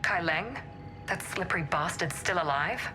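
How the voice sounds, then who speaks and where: A woman's voice: on the low side, animated, normal speed, music in the background, natural voice. A woman playing a guarded, determined operative on the run in a video game, controlled and intense.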